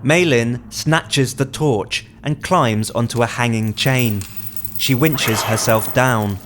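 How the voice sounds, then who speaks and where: A man reading scripted narration as the writer, in a measured, deliberate storytelling manner, describing action in the present tense. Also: mid-range voice, background noise.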